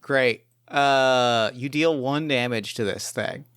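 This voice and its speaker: Clear-voiced man running a tabletop game. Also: mid-range voice, slow, a bit bright, no echo, studio quality. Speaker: game master. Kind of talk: improvised tabletop game narration, casual.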